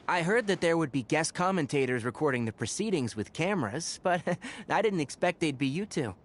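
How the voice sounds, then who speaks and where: A man's voice: fairly high pitch, expressive, a little fast. A man playing a youthful, friendly, wry male scholar in a video game. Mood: amused, friendly.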